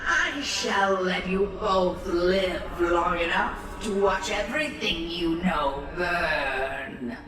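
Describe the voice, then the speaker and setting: A vengeful female fire spirit from an audio drama, drenched in heavy echo and sounding far away. She speaks extremely slowly, with imperious, theatrical menace over music. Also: fairly low pitch. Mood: menacing. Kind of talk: audio drama villain acting.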